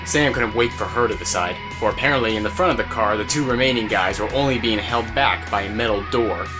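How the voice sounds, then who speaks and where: A man's voice: fairly low pitch, a little fast. A man narrating an audio drama as a scripted story. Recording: music bed behind voice.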